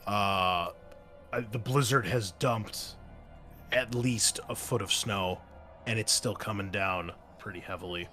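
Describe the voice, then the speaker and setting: A man's voice: lowish voice, fairly bright tone. A man running an online tabletop game as game master, rambling with many fillers and self-corrections.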